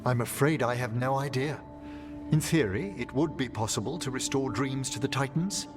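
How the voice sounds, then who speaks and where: A man playing a courteous, thoughtful scholar in a video game cutscene, speaking in a measured, formal way. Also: medium pitch, close to an everyday voice. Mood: pensive. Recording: music clearly behind the voice.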